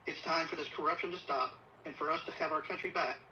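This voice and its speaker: A man's voice: a little high, fairly even intonation, pushed and bright. Man's distant, muffled, tense police statement. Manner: solemn, deliberate, urgent appeal. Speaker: assistant police chief. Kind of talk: earnest prepared video statement. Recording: distant, muffled playback with faint noise. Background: faint background noise.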